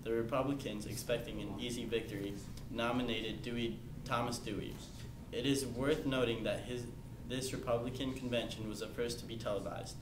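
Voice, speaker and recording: Halting young man reporting in echoey room. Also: medium pitch, steady tone. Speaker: news reporter in amateur mock newscast. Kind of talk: scripted news report. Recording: echoey room with clear background noise.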